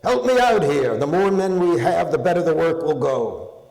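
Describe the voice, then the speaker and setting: Casting: male reader as fierce warlord of epic verse, loud rallying cry in a big reverberant space. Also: on the high side, animated, moderate pace, creaky, distant mic, pitched above the performer's own voice. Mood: rousing, rebuking. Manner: loud, declaimed battle cry. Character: Lycian warlord rallying his troops, fierce and commanding. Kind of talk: epic poetry recitation. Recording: echoey, reverberant hall.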